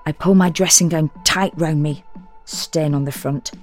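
Wry, low-voiced woman confiding. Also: fairly even intonation, a little slow, a little mellow. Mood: brisk, resolute. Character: sardonic, self-deprecating woman confiding a strange private tale. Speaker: solo performer reading a dramatic monologue. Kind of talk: intimate first-person audio drama monologue. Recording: music under the voice.

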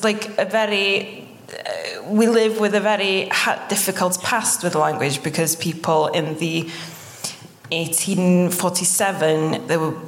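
A Welsh-accented woman, a native Welsh speaker, talking earnestly and personally as a guest on a live podcast, sometimes searching for words in English. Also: on the low side. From Wales. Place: indoor live venue stage. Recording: roomy live stage recording.

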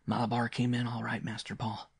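Guy playing a humble gardener, hushed and respectful, bit gravelly, audiobook style. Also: medium pitch, completely flat delivery, a little fast, no echo, studio quality. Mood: hushed, eager. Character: respectful, secretive gardener at a sickbed. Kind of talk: dramatised audiobook reading.

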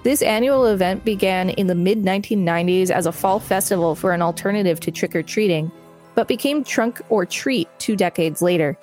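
A woman's voice: mid-range voice, fairly quick, darkish tone, soft background music. A woman podcast co-host reading an article aloud, with casual asides.

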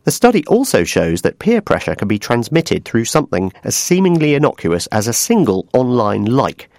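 A man's voice: medium pitch, huge pitch swings, normal speed, darkish tone, no room sound, clean studio sound. A man hosting a podcast, presenting scripted links and putting questions in a polished magazine-show style. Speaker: podcast host. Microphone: close studio microphone.

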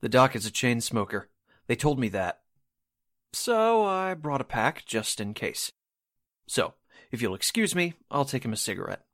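A man's voice: mid-range voice, very animated, measured pace, fairly bright tone. A man playing an affable, efficient 1940s radio station employee in a radio drama, explaining things in a businesslike way.

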